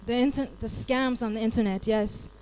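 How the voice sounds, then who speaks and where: A woman's voice: fairly high pitch, steady tone, normal speed, darkish tone, slight background noise, low-quality recording. A woman from Los Angeles with an American accent, giving a live workshop talk and questioning the audience. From Los Angeles, California. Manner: interactive, asks the audience questions. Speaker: IT support advisor presenting.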